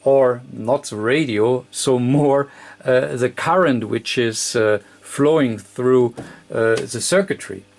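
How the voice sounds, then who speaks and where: Man, non-native English speaker, slow pace, mid-range pitch, hesitant fragmented phrasing, unscripted technical demonstration. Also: vocal fry. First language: not English. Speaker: electronics tutorial presenter.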